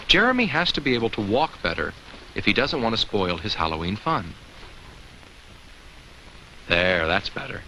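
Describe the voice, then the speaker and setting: A man's voice: lowish voice, sharp tone, heavily acted. A man voicing a cheerful, kindly talking pumpkin who gives children simple safety advice in a 1980s educational film, asking them questions. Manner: simple, instructive, addresses children with questions and chuckles. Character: talking jack-o'-lantern, cheerful, kindly, safety-minded narrator. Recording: faintly noisy old educational film soundtrack.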